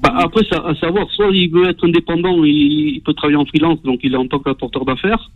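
A man's voice: on the high side, fast talker, soft background music. Southern-accented male caller, muffled. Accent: southern French. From Bordeaux, France. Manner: relaxed, casual, lots of fillers. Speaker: caller, business owner recruiting. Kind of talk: phone call-in to a radio show. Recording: muffled phone line on radio.

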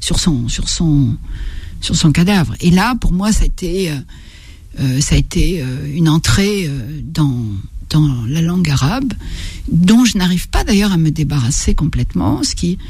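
Older woman, gravelly and husky voice. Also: low voice, lively intonation, a little mellow, dry recording. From Algeria. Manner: halting, searching for words, many restarts. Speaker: writer and interview guest. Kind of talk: reflective interview conversation.

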